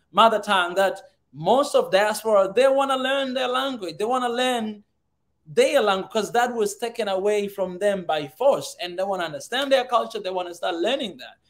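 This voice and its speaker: A man's voice: high-pitched, moderate pace, a bit bright. A Tanzanian man whose first language is Swahili, hosting an open conversation in an earnest way and repeating words as he thinks. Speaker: host. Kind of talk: casual conversation.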